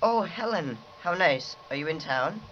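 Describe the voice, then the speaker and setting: A man playing a patient, indulgent housewife mother who chides gently, in 1950s radio drama style. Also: fairly high pitch, expressive, moderate pace, soft background music. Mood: friendly. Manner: gently chiding, mildly amused. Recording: dull lo-fi radio recording, faint hiss.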